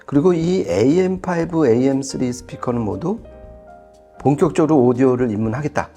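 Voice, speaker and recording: A man with a soft, dark tone talks through a product review in a conversational way. He explains his points with casual emphasis, over music. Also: medium pitch, fairly quick. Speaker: audio product reviewer on a shop's show.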